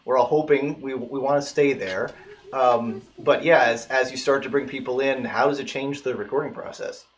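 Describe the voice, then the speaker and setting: A man's voice: mid-range voice, fairly even intonation, normal speed, slight background noise. A man hosting a casual interview, asking long, rambling questions with restarts. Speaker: host.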